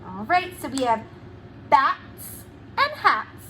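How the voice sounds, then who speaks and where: A woman preschool teacher giving an animated read-aloud lesson to young children, enunciating words deliberately and asking them questions. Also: sits high, slight background noise.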